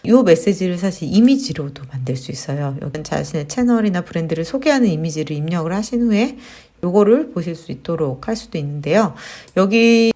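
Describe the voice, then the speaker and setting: A woman's voice: lowish voice, animated, fairly quick, few pauses, mellow. A woman in her thirties giving a friendly, explanatory screen-share tutorial on marketing, with fillers as she talks. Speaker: marketing instructor.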